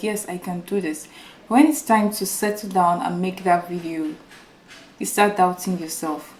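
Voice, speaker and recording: A woman YouTuber giving an earnest motivational talk to her viewers, repeating her points and calling them guys, with a small, soft voice on a new microphone. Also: medium pitch, roomy sound, low-quality recording. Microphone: new microphone without full setup.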